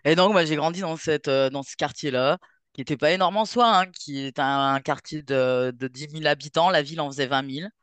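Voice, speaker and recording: A woman's voice: low voice, a little fast, clean tone, no room sound. A woman from France with a French accent, telling her story informally as an interview guest, thinking aloud as she goes. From France, near Saint-Étienne. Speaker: festival founder, interview guest. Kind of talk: casual chat, personal storytelling.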